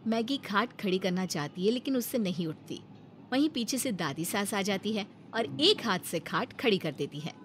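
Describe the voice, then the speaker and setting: A woman's voice: mid-range voice, animated, moderate pace, slight background noise. A woman storyteller giving scripted narration for a cartoon moral tale.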